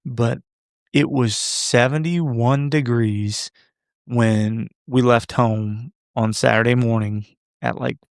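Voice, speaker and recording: A man's voice: mid-range voice, steady tone, takes it slowly, no room sound, studio quality. A man in his thirties hosting a solo podcast, chatting casually and joking back to live comments. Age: 30s. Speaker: podcast host.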